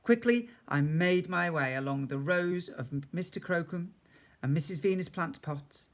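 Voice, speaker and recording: A man's voice: fairly high pitch, unhurried. A man reading a children's story aloud expressively, as a teacher does for a young class.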